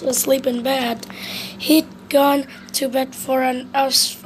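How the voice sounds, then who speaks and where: A woman reading a children's storybook aloud, with occasional halting slips. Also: sits high, slight background noise, rough recording. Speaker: storybook reader.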